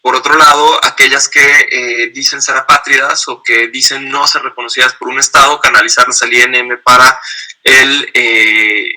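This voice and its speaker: A man's voice: mid-range voice, little pitch movement, moderate pace, bright, forceful tone, poor audio. A man giving an online training lecture on asylum law, explaining step by step and acting out short example dialogues.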